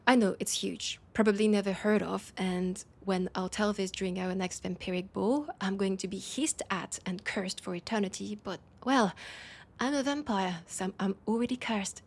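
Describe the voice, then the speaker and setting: A woman playing a proud but desperate vampire leader in an intimate audio drama, with a French accent and a halting, breathy delivery that slips toward whispers. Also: mid-range voice, natural voice. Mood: intense, solemn. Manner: hesitant, halting, breathy asides dropping into whispers.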